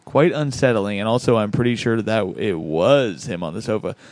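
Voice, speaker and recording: A man's voice: lowish voice, moderate pace, darkish tone, no echo. A man hosting a podcast, reading out listener comments in a laid-back, chuckling way. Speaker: podcast host.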